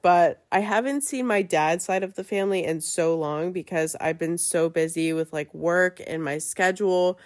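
A young woman hosting her own podcast in a chatty, casual way with many fillers, her voice stuffy and nasal from a cold. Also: fairly low pitch. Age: young adult. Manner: chatty, lots of fillers and likes. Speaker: podcast host. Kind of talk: casual chat.

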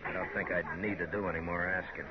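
A man's voice: low-pitched, steady tone, fast, natural voice. A man with an American accent playing a weary, brooding frontier marshal in a 1950s radio western. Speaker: lead actor in a western radio serial. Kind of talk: 1950s radio drama acting. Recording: muffled old 1950s radio, crowd behind.

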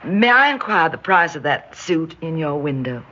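A woman's voice: low-pitched, very animated, moderate pace, sharp tone, full character voice. An actress in an old-time radio drama playing a genteel, lonely shopkeeper who dotes on her cousin, fluttery and pleading in manner. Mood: polite, demure. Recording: dull noisy old radio recording.

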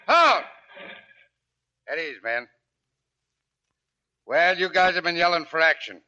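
A man playing a brisk, commanding Navy officer in a 1940s radio drama. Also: fairly high pitch, sing-song, pushed and bright, exaggerated voice. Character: brisk, barking Navy officer addressing recruits. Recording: dull 1940s radio recording.

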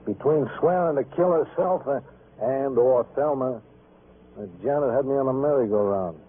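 An American actor playing a bitter, evasive, tired night-shift worker, speaking in short sardonic phrases in old-time radio drama style, with a husky voice. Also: male voice, medium pitch, moderate pace, close to an everyday voice. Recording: tinny, band-limited vintage radio recording.

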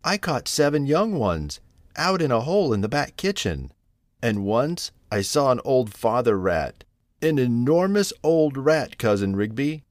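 A man's voice: mid-range voice, big pitch swings, unhurried, dry recording, clean studio sound, pitched above the performer's own voice. A man voicing an anxious, tearful mother cat in a children's storybook, fretful and lamenting.

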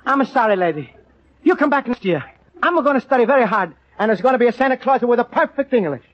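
A man's voice: extremely high pitch, animated, fast talker. An actor playing a kindly, earnest immigrant man as a store Santa, with an Italian accent and broken English, in old radio style. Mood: apologetic. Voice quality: broken English with extra vowels. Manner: earnest, apologetic, eager to please. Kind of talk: old radio comedy-drama acting. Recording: dull, lo-fi old radio recording.

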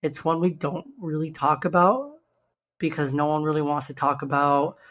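A young man with a slightly raspy, hoarse voice, earnestly hosting a solo podcast and slowing down to stress key numbers. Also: a little high, a bit bright, muffled recording. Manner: earnest, stresses numbers slowly, self-interrupting restarts. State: hoarse from shouting the day before. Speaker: podcast host.

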